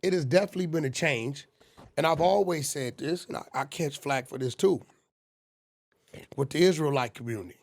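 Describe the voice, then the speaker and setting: A man in his forties telling street stories in casual, animated talk on a podcast. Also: a little high, fairly quick, creaky. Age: 40s. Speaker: podcast guest. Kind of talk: casual storytelling.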